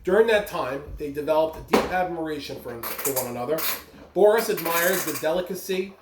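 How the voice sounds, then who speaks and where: A reader giving an expressive read-aloud of a children's story. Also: mid-range voice. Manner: expressive, with brief asides to listeners. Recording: roomy echo, clear background noise.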